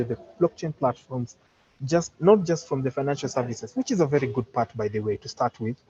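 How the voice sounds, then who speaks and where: Husky Kenyan man on a dull online call. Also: medium pitch, a little mellow. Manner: deliberate, formal wording with repeated words and illustrative examples. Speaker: participant commenting in an online training session. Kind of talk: extended spoken opinion in an online seminar.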